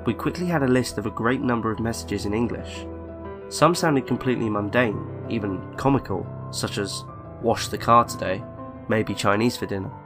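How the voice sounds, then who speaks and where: A man narrates a scripted horror story in a lowish voice. His reading is measured and deliberate, broken by pauses. Soft music plays underneath. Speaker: narrator of a creepypasta video. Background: background music.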